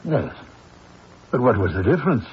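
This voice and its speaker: A man's voice: mid-range voice, very animated, moderate pace, breathy edge. An actor playing a cornered man making reluctant, defensive admissions in a radio mystery drama. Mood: defeated. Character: cornered man reluctantly admitting he lied for someone. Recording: dull, noisy old radio broadcast.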